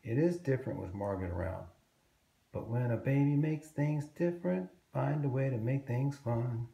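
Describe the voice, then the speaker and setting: A man voicing a gentle, reassuring mother tiger in a children's storybook, speaking patiently and soothingly. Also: medium pitch, lively intonation, normal speed, gravelly, roomy sound. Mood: gently reassuring. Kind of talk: children's storybook read-aloud.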